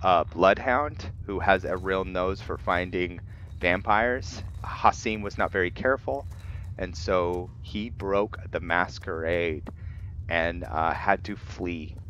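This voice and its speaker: A man giving casual, halting gameplay commentary with roleplay narration, thinking aloud as he goes. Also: low-pitched, a bit bright. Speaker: gameplay video host. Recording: dull lo-fi mic, noisy background.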